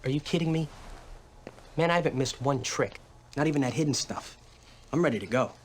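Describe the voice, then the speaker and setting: An actor playing a laid-back, cocky fellow soldier in a game cutscene, talking in a slangy, teasing way. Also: male voice, medium pitch, a little fast, natural voice. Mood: impatient, cocky. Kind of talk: casual video game cutscene acting.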